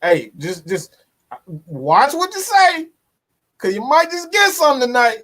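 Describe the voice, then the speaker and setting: A man's voice: very high voice, very animated, measured pace, a bit bright. A man hosting a sports live stream in a casual, boastful, playfully threatening way, with slang, repeated phrases and swearing. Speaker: sports show host.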